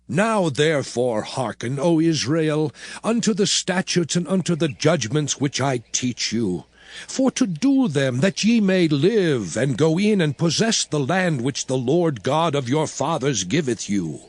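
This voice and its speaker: Slow, solemn commanding biblical prophet. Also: male voice, on the high side, very animated, dry recording. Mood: solemn, exhorting. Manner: solemn, oratorical, measured, emphatic. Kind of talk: dramatized scripture reading. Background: music.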